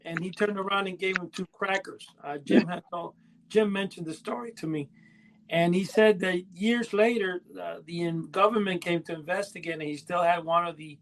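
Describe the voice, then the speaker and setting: A male co-host with a notably high-pitched voice talks casually. His storytelling rambles and halts, often starting over mid-thought. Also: rough recording. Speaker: podcast co-host. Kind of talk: casual chat.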